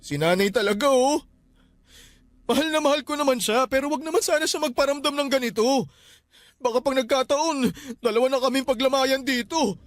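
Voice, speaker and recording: An actor playing a grieving, guarded and easily frightened son in a Filipino radio drama, speaking colloquially with emotion. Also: male voice, very high voice, brisk, no room sound. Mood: panicked, frightened. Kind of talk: Tagalog radio drama acting. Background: background music.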